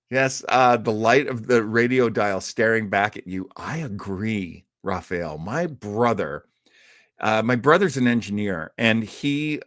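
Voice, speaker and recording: A man's voice: on the low side, fairly bright tone, no room sound, studio quality. A man from the United States chatting casually and enthusiastically as host of a live listening show, rambling into asides. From United States, outside Boston. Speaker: host of an old-time radio listening show.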